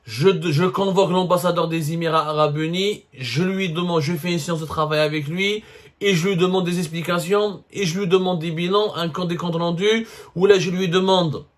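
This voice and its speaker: A man's voice: high-pitched, steady tone, normal speed. A man with an Algerian accent giving opinionated political commentary to viewers, speaking emphatically and repeating phrases for stress. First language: French. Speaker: host of a political commentary show.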